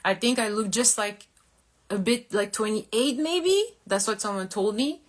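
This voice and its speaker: A woman's voice: mid-range voice, animated, measured pace. A woman in her thirties, from Korea, chatting candidly and a bit ramblingly with viewers on her live stream. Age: 30s. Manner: candid, rambling, self-deprecating. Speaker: model and livestream host. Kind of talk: casual live-stream chat.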